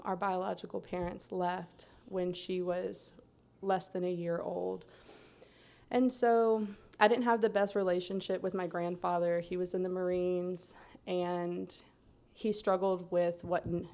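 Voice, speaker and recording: A young adult woman from Central Florida telling her life story in a steady, soft-spoken way, pausing often with "um". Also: on the low side. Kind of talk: personal testimony in a conversation.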